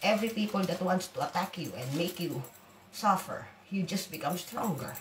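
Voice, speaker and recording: A woman's voice: on the low side, lively intonation, moderate pace, slight background noise. Rambling Filipino woman cooking in echoey kitchen. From Philippines. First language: Waray. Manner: rambling, blunt, swears freely. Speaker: vlogger. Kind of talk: casual cooking vlog. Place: home kitchen. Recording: echoey room from far off, loud sizzling.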